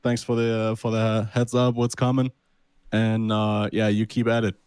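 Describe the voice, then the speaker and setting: A man's voice: lowish voice, flat delivery, moderate pace, darkish tone, clear, resonant tone, no echo. Young man, dry close voice, hesitant. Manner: hesitant, many fillers. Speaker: departing call participant. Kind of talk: casual chat.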